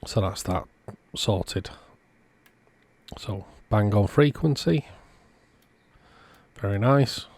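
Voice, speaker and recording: Male repair technician, lower-pitched, casual narrated commentary for a hands-on video. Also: drawn-out words, long pauses, a little mellow, slightly rough.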